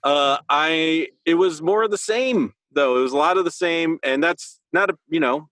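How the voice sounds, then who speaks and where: A man's voice: a little high, normal speed, fairly bright tone, dry recording. A man co-hosting a podcast, chatting casually about a video game and rephrasing as he thinks aloud. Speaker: podcast co-host.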